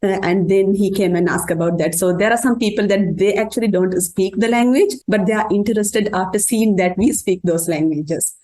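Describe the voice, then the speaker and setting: A woman's voice: medium pitch, normal speed, few pauses, warm tone, rough recording. Woman. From Sri Lanka. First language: Sinhala. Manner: hesitant, many fillers. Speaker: psychology student volunteer. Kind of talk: interview answers.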